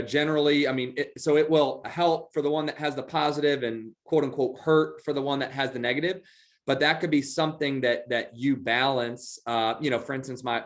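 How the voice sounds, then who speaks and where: Male bank expert; relaxed, loose explainer with many fillers. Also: medium pitch. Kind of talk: webinar Q&A answers.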